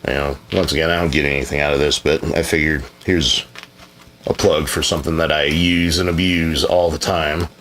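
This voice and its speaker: A man's voice: rumbling low, little pitch movement, moderate pace, fairly bright tone, slight background noise. A man answering viewer questions in a casual, rambling chat, laid-back and frequently hedging, now and then blunt and profane.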